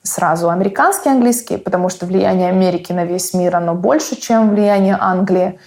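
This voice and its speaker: A woman chatting casually about learning English, animated and laughing as she acts out phrases. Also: on the low side, brisk.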